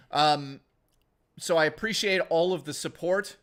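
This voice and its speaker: A man streaming, hoarse and losing his voice, rambling through a casual sign-off and thanking viewers by name. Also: a little high, measured pace, fairly bright tone.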